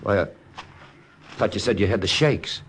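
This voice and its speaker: A man's voice: fairly low pitch, normal speed, a bit bright, lightly acted. An actor playing a protective American soldier looking after his buddy, speaking colloquially and urgently in an old radio drama. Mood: coaxing, concerned. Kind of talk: 1950s radio drama acting. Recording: noisy old radio transcription.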